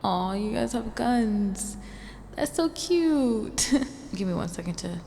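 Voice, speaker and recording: A woman's voice: medium pitch, expressive, slow pace, creaky, background noise. A woman playing a cocky, sarcastic supervillain with a grudging code of honour in a radio drama. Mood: taunting, menacing. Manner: dry, sarcastic, self-assured. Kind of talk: first-person radio drama monologue and dialogue.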